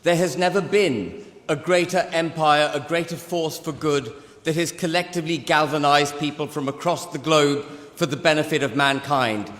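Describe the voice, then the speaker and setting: A man in his sixties, from Pakistan, giving a forceful, rhetorical debate speech with emphatic repetition. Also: a little high, moderate pace. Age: 60s. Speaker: debate speaker. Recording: long hall reverb.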